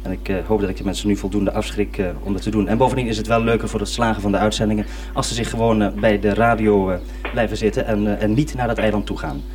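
A man's voice: fairly low pitch, fairly even intonation, fast talker, few pauses, a little mellow. A man explaining things informally on the radio as a programme maker. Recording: distant, slightly noisy old radio recording.

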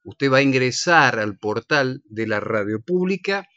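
A man's voice: mid-range voice, animated, a little slow, bright, forceful tone, dry recording, dull audio. An Argentine man hosting a radio show with casual, teasing banter. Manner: jokey, teasing. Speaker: radio host and director. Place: radio studio.

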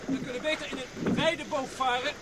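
A wry, dry-humored oarsman with a very high voice for a man, acted by a man. His voice comes through thick noise in this radio play. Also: steady tone, brisk. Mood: helpful.

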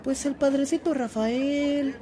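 A woman's voice: sits high, fairly even intonation, slow, a crowd in the background, noisy background. A woman playing a devoted, admiring parishioner in a radio drama.

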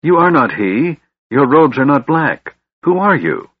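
A man's voice: mid-range voice, very animated, unhurried, slightly rough, no room sound, narrow, tinny audio, pitched above the performer's own voice, exaggerated voice. A man voicing a frail, tormented elf king from a fantasy storybook, delirious and strained. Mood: fearful confusion.

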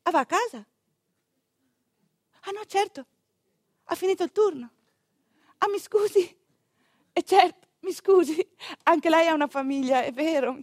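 A very high-voiced mother-to-be in labour, telling her story in a comic radio monologue. She is anxious and over-polite, full of sarcastic asides, and the recording is close and dry. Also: unhurried, pauses often, no echo. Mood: anxious, complaining. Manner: animated, exclaiming, ironic asides to the audience.

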